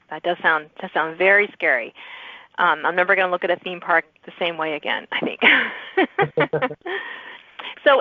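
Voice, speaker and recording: A woman's voice: on the low side, no echo. Woman podcast host, dull lo-fi sound. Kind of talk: casual author interview. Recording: muffled, lo-fi audio.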